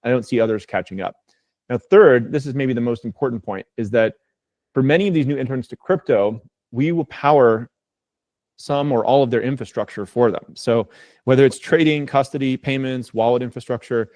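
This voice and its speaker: A man's voice: mid-range voice, moderate pace, darkish tone, no room sound. A man speaking as a company executive on an earnings call, making his case point by point in an assured, emphatic and conversational way.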